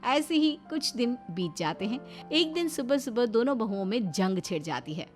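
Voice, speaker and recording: A woman's voice: on the high side, lively intonation, moderate pace, soft background music. A woman narrator reading a scripted family story.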